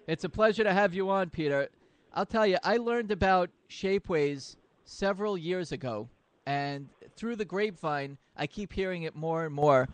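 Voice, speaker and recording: A man's voice: sits high, moderate pace, no echo. A man hosting a radio interview, asking long, rambling questions with eager interest while he searches for words. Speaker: radio show host.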